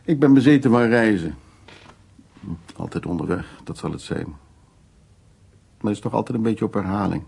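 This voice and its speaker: An actor playing a worldly, self-assured, flirtatious middle-aged art restorer, speaking in short, familiar, unhurried phrases in a naturalistic radio drama. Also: male voice, lowish voice, lively intonation, gravelly, darkish tone, husky, exaggerated voice. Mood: intimate musing.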